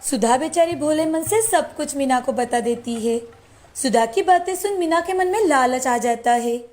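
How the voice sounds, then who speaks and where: High-pitched woman storyteller. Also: normal speed. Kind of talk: scripted children's story narration. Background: soft background music.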